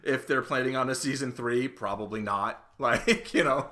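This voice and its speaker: Casual podcast co-host, man, bright tone, hesitant, laughs easily. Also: mid-range voice, moderate pace. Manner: hesitant, restarts and laughs often. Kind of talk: casual chat.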